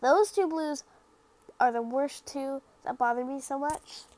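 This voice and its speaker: A woman's voice: sits high, measured pace, a little mellow. A young woman narrating her own craft video in a casual voice-over, rambling and self-critical with lots of fillers, sounding a little stuffy from the sniffles. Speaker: craft vlogger.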